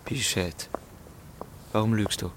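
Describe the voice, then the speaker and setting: An actor playing an earnest, wounded gentleman lover in a radio drama, halting but insistent. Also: fairly low pitch, fairly even intonation. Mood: hurt, pressing. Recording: noisy street with passing vehicles.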